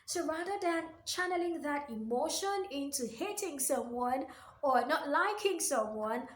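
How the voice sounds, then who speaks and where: High-voiced woman giving earnest career advice. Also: expressive, measured pace, roomy sound. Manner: earnest, repeats key phrases for emphasis; addresses the listener directly with questions. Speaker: workplace coach and video host. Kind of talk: motivational advice talk to camera.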